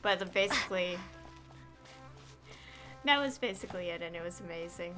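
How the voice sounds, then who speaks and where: A woman's voice: lowish voice, animated, moderate pace, pushed and bright, soft background music. A young woman streaming a game, chatting casually in a rambling, hesitant way. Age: young adult. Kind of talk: casual livestream commentary.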